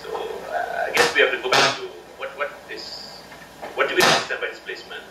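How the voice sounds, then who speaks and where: A man's voice: medium pitch, monotone, fast. Man, hesitant, searching for words, echoey room, noisy background. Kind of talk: casual group discussion. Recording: roomy, distant mic, noisy.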